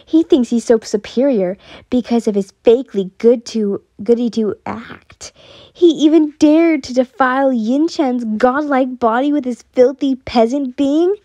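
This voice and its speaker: A woman's voice: sits high, takes it slowly, dark tone, no room sound. A woman voicing a jealous, unhinged, lovesick young man in a dramatic, emotional story reading. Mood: furious.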